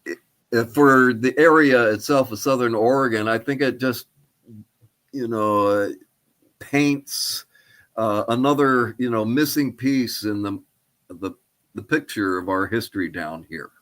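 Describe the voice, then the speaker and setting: An older man answering interview questions as a historian, speaking in a halting, thoughtful, tentative way. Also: mid-range voice, a bit bright. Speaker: archaeologist and historian guest. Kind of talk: interview answers about research.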